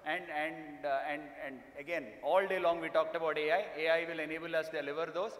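A middle-aged man moderating a conference panel off the cuff, haltingly, with repeated phrases, on a stage microphone. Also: on the high side, fairly even intonation, fairly bright tone. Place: large conference hall stage, indoors. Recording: echoey hall, amplified stage sound.

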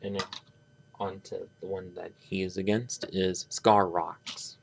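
A man giving an unscripted hands-on review, talking in a halting, rambling way and often trailing off. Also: deep voice, flat delivery, gravelly, slight background noise. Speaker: toy reviewer. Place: indoors at a tabletop.

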